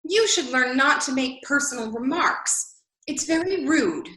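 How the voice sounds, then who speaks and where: A woman's voice: high-pitched, lively intonation, measured pace, sharp tone, exaggerated voice. A woman acting a prim, indignant, curious young girl in a readers theater sketch. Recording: roomy echo.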